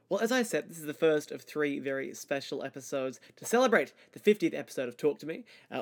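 A man hosting a podcast in a polite, formal presenter style. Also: a little high. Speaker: podcast host. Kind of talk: scripted-sounding podcast hosting.

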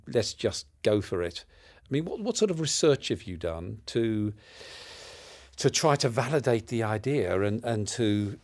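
A man's voice: mid-range voice, a little mellow. A man hosting an interview, asking probing questions with frequent restarts. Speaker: interviewer, institute director. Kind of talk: conversational interview.

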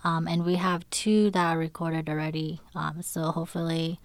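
A young woman producing a podcast, talking in a measured way with frequent pauses and ums. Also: low voice, flat delivery, no echo. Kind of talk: casual podcast chat. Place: recording studio.

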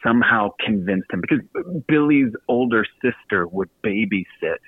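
An American man from Virginia, a guest author telling stories casually in an interview, chatty, halting and self-deprecating. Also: fairly low pitch, lively intonation. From Virginia, United States. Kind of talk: casual storytelling in a radio interview. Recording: tinny phone-like line with some room echo.

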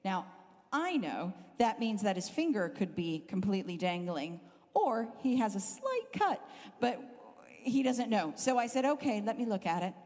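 A middle-aged woman with a Southern Californian accent softened by years in the UK, preaching as a guest speaker and telling a funny story about herself. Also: medium pitch, moderate pace. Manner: animated, self-deprecating, laughs often. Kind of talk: humorous storytelling sermon. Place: church hall. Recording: hall reverb from a live church talk.

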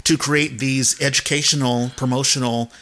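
Bright-voiced man hosting a casual chat. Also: mid-range voice, fairly even intonation, normal speed. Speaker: podcast host.

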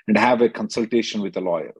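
Husky man, brisk and emphatic. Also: on the low side, dry recording. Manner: brisk, emphatic, repeats phrases for stress. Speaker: immigration lawyer hosting a call-in. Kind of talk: advising callers on a conference call.

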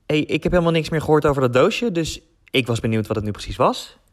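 A man's voice: on the high side, expressive, fast, a little hiss or hum, close to an everyday voice. An actor playing a friendly, inquisitive man casually checking in, in a naturalistic audio drama. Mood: cheerful, curious. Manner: casual, chatty.

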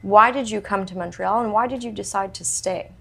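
Woman interview host, gravelly edge, measured and probing. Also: medium pitch, slight background noise. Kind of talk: interview questions.

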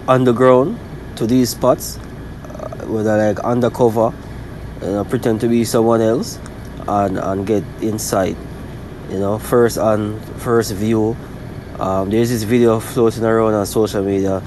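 A man's voice: lowish voice, darkish tone, low-quality recording. Jamaican man chatting over faint background noise. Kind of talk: casual discussion, storytelling.

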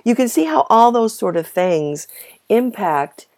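A woman hosting a podcast solo in a casual, conversational, explanatory way, her voice a little hoarse from a cold. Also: on the high side, expressive, a little slow, a little mellow. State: slightly hoarse from a minor bug. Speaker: podcast host.

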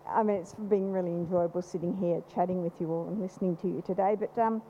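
A woman with a loud voice, speaking from the audience at a panel event, rambling with many restarts. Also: lowish voice, fairly even intonation, fast talker, slight background noise. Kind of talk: unscripted comment from the floor. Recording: slightly dull live event recording.

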